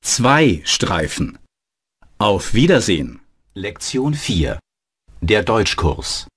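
A man voicing an adult male student and announcing lessons in a scripted learner dialogue, with a measured, plain delivery. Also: lowish voice, big pitch swings, noisy background, heavily acted.

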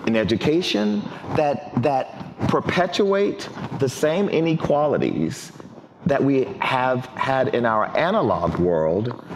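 A middle-aged man talks with expressive, deliberate emphasis on a panel stage. His pitch swings widely as he speaks, and his headset mic picks up a long hall echo over faint noise. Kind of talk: panel discussion. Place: large banquet hall on stage.

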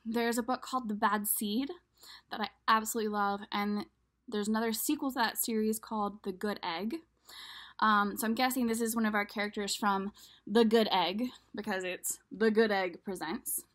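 A woman's voice: mid-range voice, steady tone, moderate pace, a bit bright. A woman in her thirties casually introducing a picture book to children, speaking directly to young viewers in a room at home. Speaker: children's storyteller. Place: small room at home, indoors.

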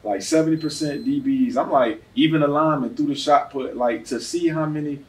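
A man's voice: a little high, a little fast. A man hosting a casual sports podcast, telling stories in slangy, swearing speech. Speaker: podcast host. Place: indoor gym, podcast set. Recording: noisy, distant mics in gym.

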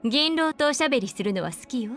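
A woman's voice: sits high, expressive, racing, a bit bright. An actress playing an elegant, composed female assassin in a video game, poised and coquettish with a cold menace. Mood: composed, wistful. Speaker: game character voice actor. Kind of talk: scripted Japanese video game character voice lines. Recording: clean studio voice over game music.

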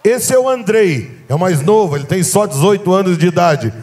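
High-voiced commentator, excitable and praising. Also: male voice, fairly quick. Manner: enthusiastic, praising, shouting at highlights. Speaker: rodeo commentator. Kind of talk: live rodeo commentary. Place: outdoor rodeo arena.

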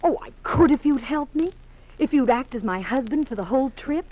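A woman's voice: high-pitched, lively intonation, fairly quick, dull audio. An actress playing an anxious, hesitant married woman with an imploring manner, in 1950s radio drama style. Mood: desperate pleading.

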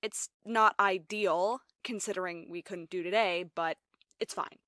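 Woman playing young ticket girl, cartoon, sensible, prim, bright tone, dry recording. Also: medium pitch, fairly even intonation, no echo. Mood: resigned. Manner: measured, matter-of-fact. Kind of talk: animated web series voice acting.

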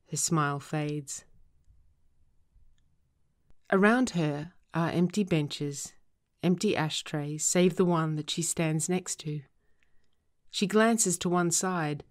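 A woman narrates a drama script with a low voice and slow pace. Her delivery is measured and descriptive, setting out each stage direction.

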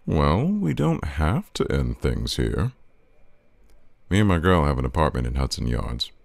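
Very deep, suave flirtatious man played by an actor. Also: very animated, measured pace, no room sound, heavily acted. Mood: gracious, inviting.

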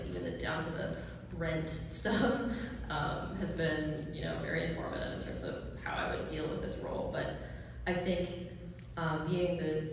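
Young woman w/ a low voice, halting and earnest, lots of ums, far from the mic in a big echoey hall with noise behind. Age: 20s. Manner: earnest, halting, many fillers. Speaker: candidate for secretary. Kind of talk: answering questions at a candidate forum.

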